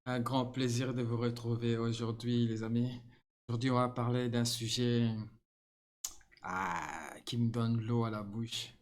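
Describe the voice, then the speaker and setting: A middle-aged man, not a native French speaker, chatting casually to his viewers with frequent laughs and halting restarts. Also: medium pitch, fairly even intonation. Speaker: channel host.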